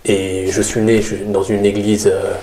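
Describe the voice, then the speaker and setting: Guest, male 30s, Paris-raised, low earnest voice, roomy sound with faint hiss. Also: little pitch movement, unhurried, warm tone. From Paris region, France. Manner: earnest, explanatory, with many "euh". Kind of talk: interview testimony.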